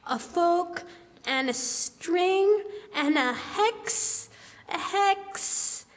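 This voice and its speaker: A tiny girl's very high voice put on by a young woman, dragging extremely slowly. She is a careful, obedient playmate in a radio play, sounding out words haltingly in an echoey hall. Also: animated, exaggerated voice. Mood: careful, puzzled. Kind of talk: 1940s-style radio drama acting on stage. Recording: echoey hall, live stage.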